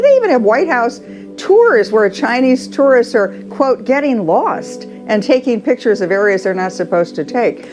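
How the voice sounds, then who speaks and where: American woman analyst, music faintly behind. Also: mid-range voice. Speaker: news commentary guest. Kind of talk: broadcast interview analysis.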